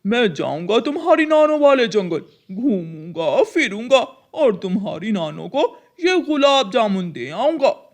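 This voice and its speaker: A woman puts on a sly, greedy male crow from a cartoon. The voice is mid-pitched and swings widely up and down, boastful and overeager in its delivery. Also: big pitch swings, unhurried, few pauses. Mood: eager.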